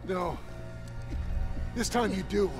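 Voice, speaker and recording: An actor playing a remorseful but resolute prisoner in a cinematic game. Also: male voice, high voice, slow, music in the background. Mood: defiant.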